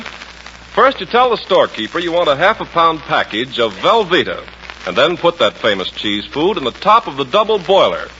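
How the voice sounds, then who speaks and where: A man's voice: mid-range voice, pushed and bright. A man in folksy, emphatic 1940s radio style, announcing and trading patter on an old broadcast. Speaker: radio announcer and comic performer. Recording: muffled 1940s radio recording, noisy.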